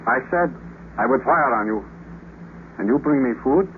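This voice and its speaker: A man's voice: medium pitch, animated, normal speed, natural voice. Muffled noisy 1950s radio drama, man playing a proud spaceman, formal, stiff, despairing. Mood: suspicious. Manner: stiff, formal speech without contractions. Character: proud, despairing Russian cosmonaut stranded on the moon.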